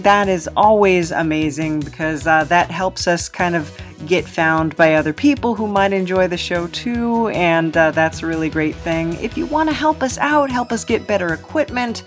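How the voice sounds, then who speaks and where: A woman hosting a podcast, speaking in a casual, chatty style. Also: medium pitch. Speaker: podcast host and writer.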